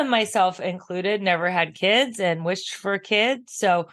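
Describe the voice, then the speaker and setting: A woman's voice: medium pitch, slow, fairly bright tone. A middle-aged woman giving advice in a casual interview, talking emphatically with lots of "like", her voice froggy from just waking up. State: husky morning voice. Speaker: podcast guest, abundance mentor.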